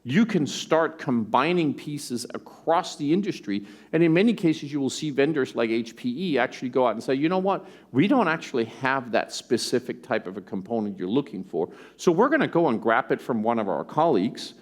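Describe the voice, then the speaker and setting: A man from Denmark with an American accent gives a conference keynote, conversational and animated, tossing in rhetorical asides. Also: medium pitch, brisk, hardly any pauses. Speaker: tech company executive presenting. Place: conference stage.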